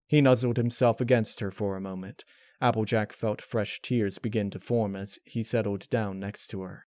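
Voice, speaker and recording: A man reading a dark fan-fiction story aloud as narrator, in a dramatic scripted style. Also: fairly low pitch, fairly even intonation, moderate pace, no echo, clean studio sound.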